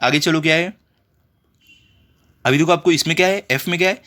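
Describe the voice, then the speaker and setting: A man's voice: a little high, fairly quick, pushed and bright. A man teaching children, walking through exercise questions step by step and often checking in with his pupils.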